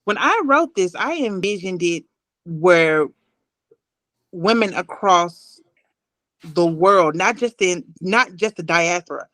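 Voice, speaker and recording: A woman in her thirties telling a personal story as an interview guest on a video call, deliberate and emphatic, repeating phrases. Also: on the low side, animated, fairly bright tone, dry recording.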